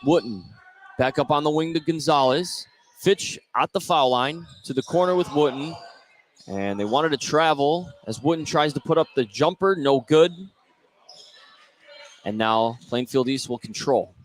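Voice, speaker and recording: Man calling basketball play-by-play, slightly higher voice. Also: measured pace. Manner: narrates each play in short phrases. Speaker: play-by-play commentator. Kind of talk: live basketball radio commentary.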